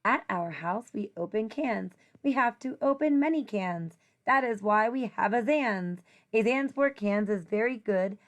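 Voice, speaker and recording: A woman teacher reading a rhyming children's picture book aloud in an expressive, sing-song way. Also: medium pitch, measured pace.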